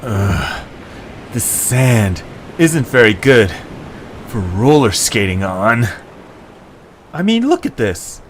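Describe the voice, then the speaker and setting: An excitable young male adventurer acted by a man in an audio drama, his pitch leaping around. He is dramatic and slangy, quick to complain yet good-hearted. There is a layer of noise behind the slow delivery. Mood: grumbling. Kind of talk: amateur audio drama acting.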